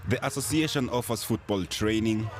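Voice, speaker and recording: A man giving scripted narration for a news feature, measured and informative. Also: lowish voice. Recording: voice-over with music bed.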